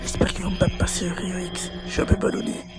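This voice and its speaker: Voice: mid-range voice, completely flat delivery, fairly quick, natural voice. A performer voicing a small, panicky young talking animal in a film dub, jittery and chatty. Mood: nervous whispering. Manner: jittery muttering that swings into shrieks. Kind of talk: French film fan dub acting. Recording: loud music over the voice. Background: loud music.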